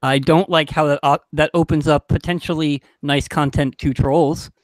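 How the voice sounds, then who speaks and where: A man's voice: fairly high pitch, steady tone, normal speed, dry recording. A man talking casually as a podcast panelist and sound designer, telling anecdotes in an informal, emphatic way.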